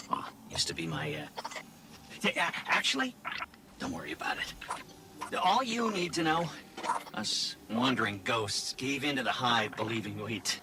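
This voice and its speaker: Nervy, stammering, shifty little robot. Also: male voice, medium pitch, background noise. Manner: stammering, evasive, slangy. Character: nervy, shifty, fast-talking turncoat robot companion, male. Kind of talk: video game voice acting.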